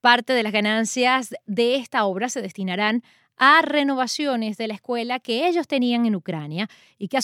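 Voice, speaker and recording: A woman presents a scripted radio segment at a measured pace. Her voice sits a little high and is recorded very dry and close, with no room sound at all. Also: a bit bright, dry recording. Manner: measured, script-reading delivery. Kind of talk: read news segment.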